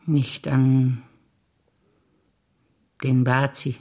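An older woman, an actress reciting memories in a quiet, measured way on stage. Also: extremely low pitch, level tone.

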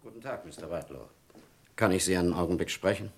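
A man's voice: low voice, fast, music in the background. A man playing a dry, insistent police captain in a German crime radio play, questioning people formally and pointedly.

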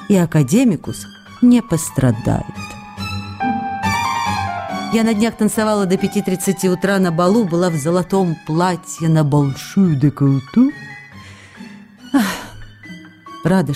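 An actress reading a young actress's letters in a radio play, playful and wistful by turns, with soft music behind. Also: female voice, fairly low pitch.

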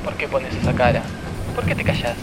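A man's voice: medium pitch, very animated, brisk, heavy background noise, natural voice. An actor playing an ardent, impatient man in love in a radio drama. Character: ardent, impatient long-distance lover, a man.

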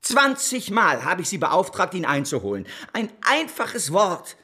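An actor playing an irascible, domineering newspaper boss and schemer in a German radio drama, with a husky, declamatory delivery. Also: male voice, high-pitched, big pitch swings, unhurried, bright, forceful tone, exaggerated voice. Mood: indignant. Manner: imperious, declamatory.

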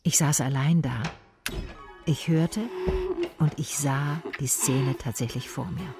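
A woman's voice: deep voice, takes it slowly, loud music behind the voice. An actress playing a private detective who narrates her case in a radio drama, in a dry, matter-of-fact, sardonic and observant way. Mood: wry.

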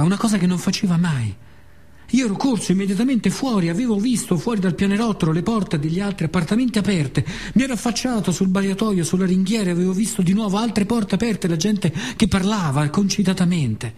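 A man with a Roman accent tells a story as a theatrical radio monologue. His voice is fairly high and somewhat husky. He builds the tale from short fragments, with repetitions and dramatic pauses. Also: darkish tone. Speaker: actor and author of a radio monologue.

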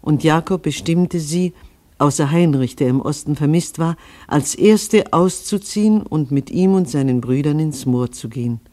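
An actor playing a solemn chronicler who recites a family history like scripture in a radio play. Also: male voice, on the high side, normal speed, warm tone, lightly acted. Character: solemn biblical-style chronicler of a settler family.

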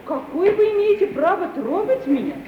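A muffled, echoing old radio recording of a young orphan girl. Her voice is very high and expressive, earnest and plaintive with wounded pride. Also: moderate pace, recorded from a distance, slight background noise. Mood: indignant. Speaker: actor. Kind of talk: 1950s Russian radio play acting.

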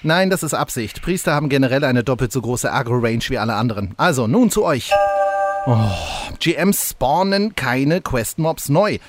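A man's voice: mid-range voice, lively intonation, moderate pace, a little hiss or hum, lightly acted. A man voicing a grumpy, impatient male game master who scolds players in an amateur audio drama parody. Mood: irritated.

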